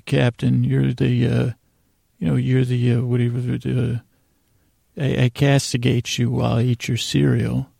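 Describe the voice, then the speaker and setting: Man, gravelly fry, dry close sound, meandering sleep podcast host, halting, lighthearted, absurd tangents. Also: medium pitch, dark tone, dry recording. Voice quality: very creaky, gravelly. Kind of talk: rambling bedtime monologue, meant to lull listeners to sleep.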